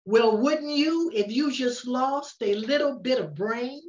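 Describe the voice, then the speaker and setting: An older woman reads a rhyming picture book aloud with expressive pauses. Her voice sits fairly high and moves very slowly. The recording is echoey, as if the microphone is some way off in the room. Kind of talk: read-aloud of a rhyming children's story. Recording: roomy, distant, dull-sounding microphone.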